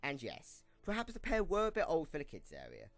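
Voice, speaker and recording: A man's voice: on the high side, wildly swooping pitch, fairly quick, vocal fry, fairly bright tone, no echo. A man reading scripted storybook narration as the narrator of an audio drama. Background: music.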